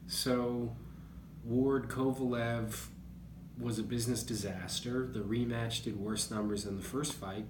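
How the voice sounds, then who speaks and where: Male sports pundit, lowish voice, casual and musing, roomy recording with noticeable background noise. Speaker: boxing journalist, guest.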